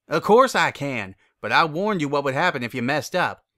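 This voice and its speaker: A man's voice: fairly high pitch, animated, normal speed, a bit bright. A man voicing a plain-spoken, practical country farm mare, caring and firm, with a folksy, blunt manner. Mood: teasing.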